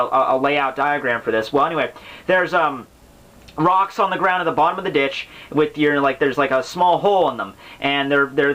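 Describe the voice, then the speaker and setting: Bright-voiced man rambling through book commentary. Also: on the high side. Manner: informal, slangy and profane, with asides and acted-out hypothetical exchanges. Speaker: host of a book commentary series. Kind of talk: casual, rambling explanatory commentary on a classic book.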